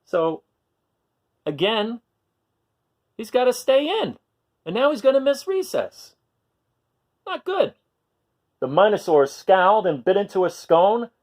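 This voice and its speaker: A man reading aloud to young children, expressive, with chatty asides and questions put to his listeners. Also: sits high, slow, long pauses. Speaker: children's storytime reader.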